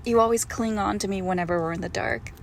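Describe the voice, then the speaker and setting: A woman playing a doting, possessive girlfriend who is secretly a monster, in an intimate, hushed roleplay audio drama. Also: mid-range voice, vocal fry, slight background noise, lightly acted. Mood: soothing. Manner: slow, hushed, tender, often dropping to a whisper.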